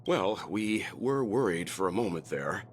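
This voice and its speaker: An actor playing a calm, mature male space traveller in a video game, polite, measured and earnest. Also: deep voice, a little hiss or hum. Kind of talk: scripted video game voice acting.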